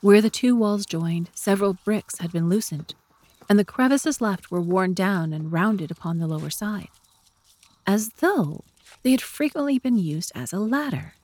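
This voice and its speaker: A woman's voice: mid-range voice, animated, normal speed, a little mellow. A woman reading scripted story narration as the narrator of a dramatised audiobook.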